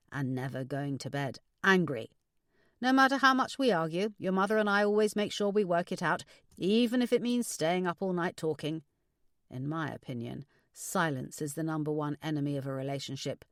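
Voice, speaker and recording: A woman voicing a kindly older father who gives measured, reassuring, philosophical advice in an audiobook. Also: low voice, lively intonation, no room sound, studio quality. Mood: earnest, reassuring. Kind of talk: audiobook character dialogue.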